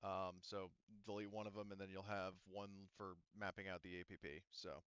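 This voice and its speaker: A man with a low, smooth, resonant voice runs a tabletop game session, explaining rules patiently and filling pauses with ums and likes. The sound is dry and slightly dull, as from a home microphone. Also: flat delivery, fairly bright tone, no room sound. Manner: patient, explanatory, many fillers. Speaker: game master.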